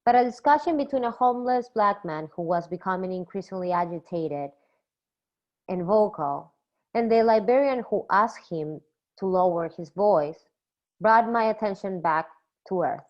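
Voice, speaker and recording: A young woman from Peru gives a scripted academic presentation in a measured, even manner. The recording is a bit dull and lo-fi, and her voice sits at a middling pitch. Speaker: PhD student presenting a poster.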